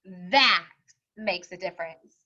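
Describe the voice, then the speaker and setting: A woman voicing a firm but kindly male school principal in a children's storybook, speaking deliberately as if announcing. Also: on the low side, bright, forceful tone, muffled recording, pitched below the performer's own voice, full character voice. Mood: approving, announcing. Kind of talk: children's storybook read-aloud.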